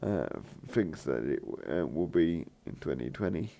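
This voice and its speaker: Deep croaky man, rambling gamer talk. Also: expressive, normal speed, warm tone. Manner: hesitant, many fillers and restarts. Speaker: gaming channel host. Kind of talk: rambling let's play commentary.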